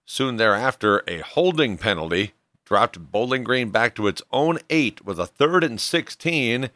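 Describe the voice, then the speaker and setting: A man's voice: medium pitch, a bit bright, no room sound. A man hosting a sports show, narrating a scripted recap of a football game.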